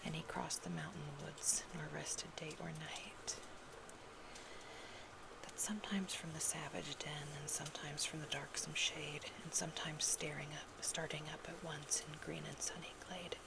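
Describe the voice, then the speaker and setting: This is a hushed, whispering voice with a very crackly, creaky edge. It reads love poems in an ASMR roleplay style, softly and intimately. The phrases are unhurried and breathy, at a medium pitch. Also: fairly even intonation. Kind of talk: whispered ASMR poetry reading.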